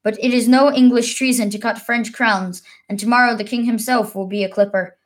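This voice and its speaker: A woman's voice: a little high, fairly even intonation, brisk, lightly acted. A woman reading the part of a troubled, brooding king in Shakespearean verse, declaiming and sometimes restarting a stumbled word. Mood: burdened, resentful. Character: troubled young warrior king, brooding on burdens of rank.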